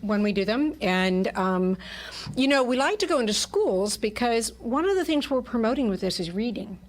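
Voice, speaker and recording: A woman's voice: a little high, lively intonation, moderate pace. A woman speaking as a theatre troupe representative in a casual radio interview, chatting and explaining as she goes. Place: radio station studio.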